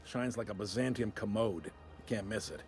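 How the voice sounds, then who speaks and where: A man's voice: fairly low pitch, normal speed, soft background music, lightly acted. A man playing a wry, folksy space-station customs officer in a video game, with a droll, casually apologetic drawl. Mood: reassuring, wry. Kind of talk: video game character acting.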